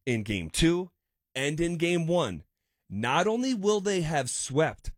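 A man's voice: fairly high pitch, big pitch swings, slow, no room sound, studio quality. A man hosting sports talk radio, building his points with frequent restarts and repeated words. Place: radio studio. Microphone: studio microphone.

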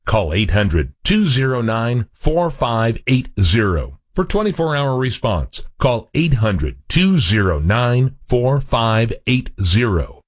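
A man reads a charity radio advert in an earnest, measured announcer style. His voice is husky and dry, with a lively pitch. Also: no room sound.

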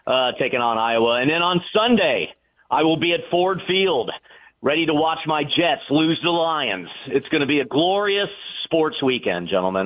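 A man calling in to a sports radio show, excitable and rambling, laughing often, his voice climbing when he gets worked up. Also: on the high side, phone-quality sound. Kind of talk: casual sports radio chat. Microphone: phone or remote line from a hotel room.

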